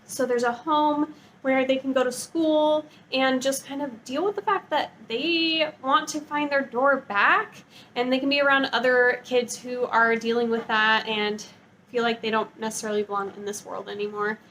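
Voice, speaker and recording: A woman's voice: high voice, fairly even intonation, normal speed, a bit bright, a little hiss or hum. A woman talking in a casual, chatty vlog style, with an enthusiastic, gushing and informal manner. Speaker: book review vlogger.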